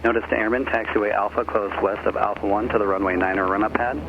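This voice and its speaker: A man's voice: lowish voice, fairly even intonation, quick pace. A man reading a clipped, formulaic airport information broadcast over aviation radio. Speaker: airport weather information announcer. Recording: dull, noisy aircraft radio reception.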